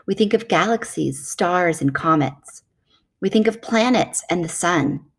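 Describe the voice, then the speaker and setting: A woman reading a nonfiction children's book aloud in a measured, explanatory way. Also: lowish voice, expressive, husky.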